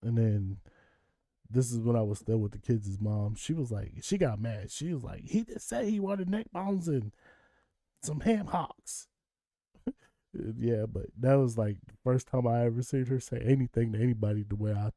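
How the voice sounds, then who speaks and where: A man's voice: mid-range voice, expressive, normal speed, mellow, no echo, clean studio sound. A man with a Southern US accent, rambling casually to his viewers in a monologue and chuckling often. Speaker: vlogger.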